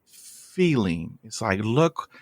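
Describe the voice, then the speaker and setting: A man's voice: lowish voice, big pitch swings, very slow, dry recording, clean studio sound. A man hosting a podcast interview, talking enthusiastically with an occasional stutter. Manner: enthusiastic, stutters with blocks and repeats. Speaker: podcast host. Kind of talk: casual interview chat.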